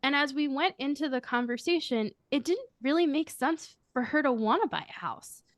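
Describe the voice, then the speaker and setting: A woman with a high, girlish voice answering interview questions, speaking earnestly and reflectively as a coach who leads a firm. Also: expressive, moderate pace, no room sound, studio quality. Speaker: guest, coach and firm leader.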